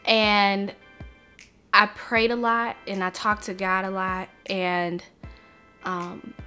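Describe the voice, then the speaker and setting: A woman's voice: mid-range voice, flat delivery, pushed and bright, smooth, faint music behind. A young woman talking to camera on her vlog, earnest and reflective as she shares something personal. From United States. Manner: earnest, reflective, slow and heartfelt. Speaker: vlogger.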